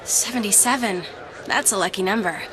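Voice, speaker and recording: A woman's voice: mid-range voice, fairly quick, lightly acted. An actress playing a perky, eager young woman at a fair in a video game, chirpy in manner. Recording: crowd and noise behind.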